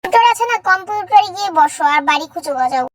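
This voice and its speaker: A woman putting on the voice of a bossy female game character who hands out quick orders. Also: extremely high pitch, animated, no echo, studio quality, voice pushed up.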